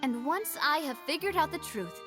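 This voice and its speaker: A woman playing a determined young noblewoman in a game, arguing earnestly for justice over clear background music. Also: high-pitched, animated, normal speed. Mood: resolute. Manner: earnest, forceful courtroom argument. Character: determined young noblewoman seeking justice for her dead father. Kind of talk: dramatic game voice acting. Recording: loud music underneath.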